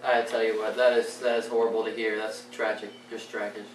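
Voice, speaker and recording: A man's voice: fairly low pitch, level tone, a little fast, close to an everyday voice. Echoey 1920s radio correspondent acted by a man. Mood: solemn. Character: solemn 1920s male radio news correspondent. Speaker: news reporter. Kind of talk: scripted newscast reading, amateur period skit. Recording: roomy, distant, faintly noisy.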